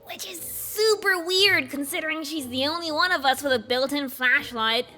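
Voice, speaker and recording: A woman's voice: high voice, normal speed, bright, forceful tone, faint music behind, voice pushed up. A woman voicing a brash, upbeat young tomboy filly pony with an eager manner in a storybook reading. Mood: teasing.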